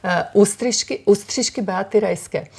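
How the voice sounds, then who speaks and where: A Slovak woman, a fashion designer and author, speaking English as a second language with a Slovak accent, pausing often with many fillers in a community TV interview. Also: mid-range voice, vocal fry, husky. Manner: halting, many fillers and pauses, simple English.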